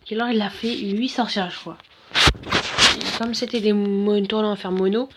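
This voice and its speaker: A man's voice: high-pitched, fairly even intonation, normal speed, very noisy. A man with a bad head cold giving casual, mumbling commentary over gameplay, full of slang and fillers.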